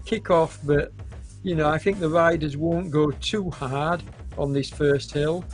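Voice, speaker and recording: A man with a cold commentating a live esports cycling race, hesitant and full of fillers, with a hoarse voice and occasional coughs. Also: a little high. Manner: hesitant, many fillers, unhurried.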